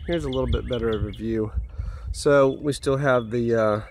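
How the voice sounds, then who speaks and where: A man's voice: medium pitch, moderate pace, a little hiss or hum. A man with a stuffy head cold, casually walking viewers around his house build outdoors, easygoing and rambling. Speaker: homeowner vlogger. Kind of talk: casual site walkthrough.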